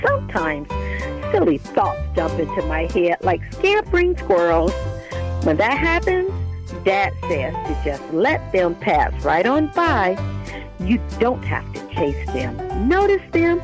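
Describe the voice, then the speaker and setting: A woman's voice: medium pitch, wildly swooping pitch, slow, over music. A woman voicing a curious, adventurous young girl in a children's storybook, speaking expressively and directly to young listeners. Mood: calm, soothing. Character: curious, adventurous young girl narrating her mindfulness quest. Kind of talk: children's storybook read-aloud.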